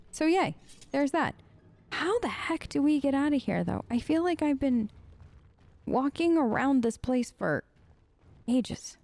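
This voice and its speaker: A woman giving casual, playful let's play commentary, chatty and exclaiming, with game sounds behind her. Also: high-pitched. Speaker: gaming host. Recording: loud game noise behind voice. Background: game sounds and ambience.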